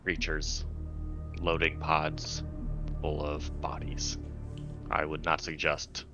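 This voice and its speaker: A man voicing a grave, formal doctor in a tabletop roleplaying game, with stiff, measured wording. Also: rumbling low, completely flat delivery, full character voice. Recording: music playing behind.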